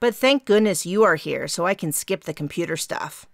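A woman voicing a bubbly, chatty young woman with a faint Minnesota accent who talks fast in clipped phrases. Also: lowish voice, lively intonation, vocal fry, no room sound, studio quality. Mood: eager. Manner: fast, clipped, round vowels, bouncy.

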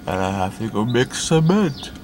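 A man's voice: mid-range voice, very animated, normal speed, a little mellow, slight background noise. A tired young man in his twenties recording a groggy video diary inside a parked truck, on a handheld camcorder. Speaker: backpacker and construction worker. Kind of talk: early-morning video diary. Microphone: camcorder held at arm's length.

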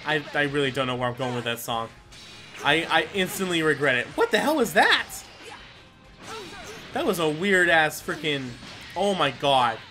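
A man's voice: a little high, big pitch swings, normal speed, long pauses, bright, forceful tone, soft background music. A man hosting a gameplay video with casual, rambling commentary, full of exclamations and mild swearing. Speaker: gaming video host.

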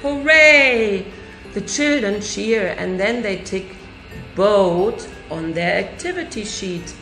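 Slow-reading woman in an echoey classroom. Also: mid-range voice, soft background music. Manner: lively storybook reading with raised voices for characters. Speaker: nursery teacher. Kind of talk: reading a picture book aloud to children. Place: classroom indoors.

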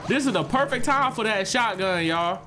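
A young man with a stuffed-up nose giving animated, joking gameplay commentary to his viewers. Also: high-pitched, moderate pace. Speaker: gaming streamer.

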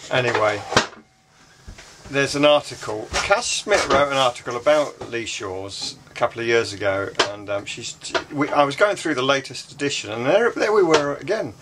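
An older man in his sixties talks drily to a camera held close in a small boat cabin, stopping and restarting as he sets the record straight. A clear hiss of background noise sits under his voice. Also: medium pitch, animated. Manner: dry, correcting, halting with restarts. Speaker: sailing vlog co-host. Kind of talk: casual chat to camera. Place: inside a small yacht cabin. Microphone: handheld action camera close to face.

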